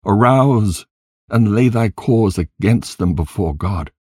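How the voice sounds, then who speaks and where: Man voicing a grieving young son, raised pitch, mournful, imploring, crying out, dramatic scripture storytelling. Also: expressive, slow pace, darkish tone, breathy edge, no echo, studio quality, exaggerated voice. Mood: anguished pleading. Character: anguished young son pleading at his mother's grave.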